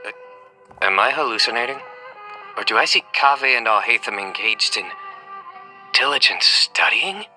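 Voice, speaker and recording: An actor playing a composed, serious young man in an anime-style video game, deadpan, measured and formal. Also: medium pitch, faint music behind, low-quality recording.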